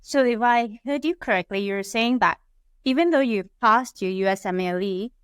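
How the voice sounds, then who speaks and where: A woman's voice: on the high side, moderate pace, smooth, no room sound, clean studio sound. A woman hosts a podcast, reading a scripted intro and then asking chatty questions with fillers. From Malaysia. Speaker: podcast host. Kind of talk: podcast interview.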